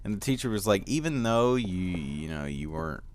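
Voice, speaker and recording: A teenage boy with a deep voice giving casual gameplay commentary, rambling with lots of fillers and easy laughs. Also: big pitch swings, brisk, slight background noise. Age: teens. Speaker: gaming commentator.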